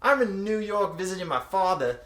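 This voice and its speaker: Man putting on an excitable mom, high-pitched Holly. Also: normal speed. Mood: pleading. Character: Holly, an excitable cheery middle-aged mother. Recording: echoey room.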